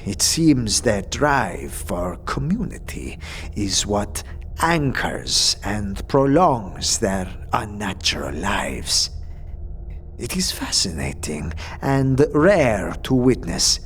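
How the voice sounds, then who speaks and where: An actor playing a calm, scholarly zealot of death, speaking formally and deliberately in a fantasy audio drama. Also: male voice, lowish voice, slight background noise, exaggerated voice. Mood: admiring, reflective. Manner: measured, formal, deliberate pauses.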